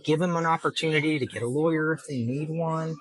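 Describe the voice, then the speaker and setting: A man's voice: on the high side, fairly even intonation, fast. A middle-aged man, a judge answering interview questions earnestly and patiently in plain terms, with lots of fillers. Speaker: district judge, guest.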